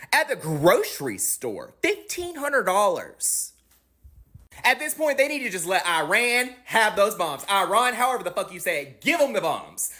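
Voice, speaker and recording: A woman's voice: lowish voice, a bit bright, husky. A woman ranting to camera, exasperated and swearing freely. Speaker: social media poster.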